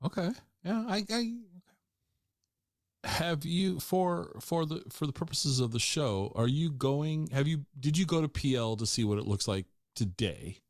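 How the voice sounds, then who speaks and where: Man asking halting questions, dry sound. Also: fairly high pitch, expressive, no room sound. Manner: hesitant questioner, restarts questions. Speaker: podcast host. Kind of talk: casual interview.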